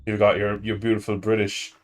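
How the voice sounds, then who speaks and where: A man's voice: fairly low pitch, monotone, a little fast, soft background music. A man with an Irish accent chatting casually and joking while streaming a motorbike racing game. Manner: joking, rambling, self-mocking. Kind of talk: casual chat while gaming.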